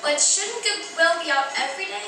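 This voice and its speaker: A woman's voice: extremely high pitch, normal speed, over music. A woman playing a cold, lonely, innocent little child in an old-time radio drama, pleading and full of earnest questions. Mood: timid, wondering. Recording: very echoey hall, distant mic, faint noise.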